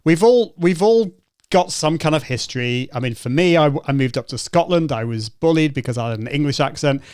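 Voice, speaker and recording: A man's voice: on the high side, animated, brisk, a little mellow, smooth, no echo. Encouraging male podcast host, dry sound. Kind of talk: conversational podcast interview.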